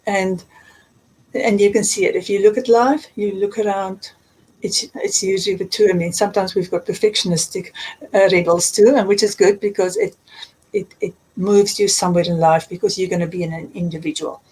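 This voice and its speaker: A woman's voice: medium pitch, fairly even intonation, fairly quick, darkish tone, slightly rough, poor audio. A woman with a South African accent, a coach giving earnest advice in an interview. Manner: earnest, advisory, repeats words. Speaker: guest, transformational coach. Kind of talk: interview answers, explaining.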